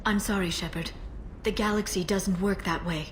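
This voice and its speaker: An actress playing a composed, determined female information broker in a sci-fi video game, measured and earnest. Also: mid-range voice, fairly even intonation, close to an everyday voice. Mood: earnest, guarded. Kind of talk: scripted video game dialogue. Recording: noisy ambient background.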